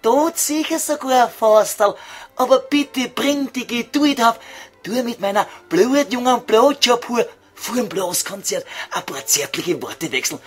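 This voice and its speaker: High slow viennese devil, sly and vulgar, comedy sketch with faint music behind. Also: male voice, lively intonation, husky, heavily acted. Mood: sly coaxing. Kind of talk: comic radio play sketch.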